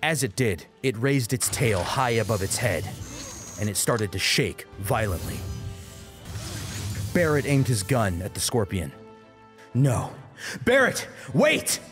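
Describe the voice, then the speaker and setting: A man's voice: lowish voice, expressive, a little slow, long pauses, music in the background. A man narrating an action story as an audiobook, in a dramatic scripted style.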